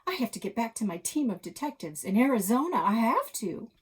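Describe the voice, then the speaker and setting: A woman's voice: fairly high pitch, sing-song, brisk, husky, pitched above the performer's own voice. A woman voicing a nervous, melodramatic young male detective in a storybook, with an anxious, pleading, folksy manner.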